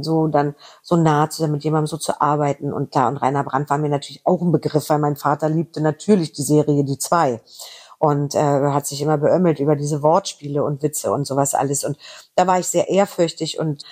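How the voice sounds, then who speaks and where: A middle-aged woman from Berlin with a faint Berlin accent talks as an interview guest. Her voice is a little husky, and she rambles quickly and eagerly through her anecdotes. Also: low voice, darkish tone. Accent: slight Berlin. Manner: chatty, fast, rambling anecdotes. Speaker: voice actress guest. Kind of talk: casual interview chat.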